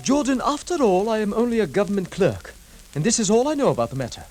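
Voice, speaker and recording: A man's voice: high-pitched, big pitch swings, fast talker, loud music behind the voice. A man playing a cautious, self-effacing Egyptian government clerk in a 1950s radio drama, with formal, careful phrasing. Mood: wary. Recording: 1950s radio recording, hissy background.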